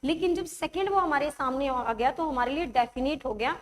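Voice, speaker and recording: A woman teacher with a high voice leads an online grammar class, her throat sore. She keeps coaxing the class with quick check-ins and slows right down to spell out examples. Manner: coaxing, checks understanding often; writes examples while speaking, slows to dictate them.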